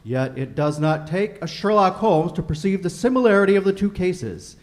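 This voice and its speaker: Man as a higher-voiced 1940s radio announcer. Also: animated, normal speed, a little mellow. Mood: persuasive. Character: polished male 1940s radio announcer and sponsor pitchman.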